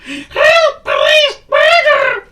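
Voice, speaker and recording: Tiny jittery storybook fellow: piercingly high, sharp, drawn-out delivery, given to shrieking and stammering. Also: male voice, noisy background. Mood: shrieking panic. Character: tiny panicky nervous little storybook man.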